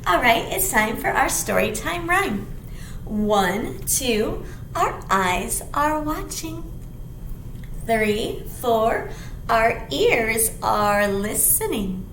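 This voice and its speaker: A middle-aged woman reading aloud as a children's storytime librarian, with an expressive, sing-song delivery and simple questions to the children. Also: on the high side, drawn-out words. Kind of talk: animated read-aloud to young children. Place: small indoor room. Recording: roomy echo, faint background noise.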